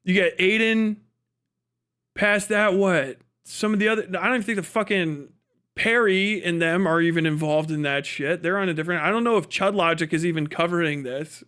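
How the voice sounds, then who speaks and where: High pitched guy streamer rambling and cursing, real emphatic, casual commentary. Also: moderate pace, a bit bright, clear, resonant tone.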